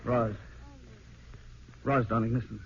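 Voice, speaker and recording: Earnest husband, muffled old radio. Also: male voice, mid-range voice, steady tone, vocal fry, slight background noise. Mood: hesitant, sincere. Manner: halting, sincere. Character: earnest, tender husband and adoptive father. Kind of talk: old radio drama acting.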